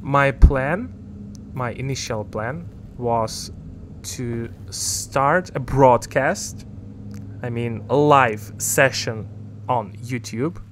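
A man's voice: mid-range voice, drawn-out words, background noise. A man whose first language is Ukrainian, rambling through casual gameplay commentary as a gaming YouTuber, often correcting himself.

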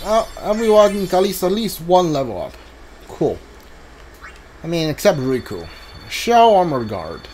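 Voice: mid-range voice, sing-song, unhurried, long pauses, a little mellow, slight background noise. A video game streamer giving casual commentary on a blind playthrough. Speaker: gameplay streamer. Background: game music behind the voice.

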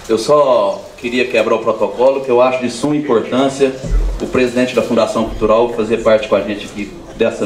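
A man's voice: mid-range voice, a little mellow. A man in his forties with a Brazilian accent, speaking informally as an official at a public ceremony on a handheld microphone. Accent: Brazilian Portuguese. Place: crowded room at a public ceremony. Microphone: handheld microphone over PA. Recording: echoey amplified room sound.

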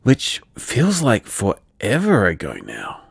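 A man's voice: mid-range voice, wildly swooping pitch, slow pace, gravelly, breathy edge, dry recording, studio quality, heavily acted. An actor voicing a shy, affectionate young man who leaves rambling, self-deprecating voicemails, tipsy in parts of the scene. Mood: fond. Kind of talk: audio drama voicemail monologue.

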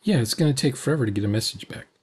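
An older man comments casually on a roleplay session. His voice is husky and unclear at the edges, at an average pitch for a man. He speaks as a host reacting aloud. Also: animated, rapid-fire, a little mellow. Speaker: video host commenting on a game recording.

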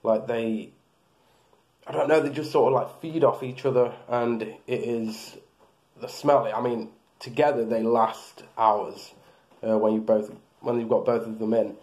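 Mellow-voiced bearded man reviewing products. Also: medium pitch, fairly even intonation, unhurried. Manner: rambling, hesitant, many fillers and restarts. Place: small room indoors.

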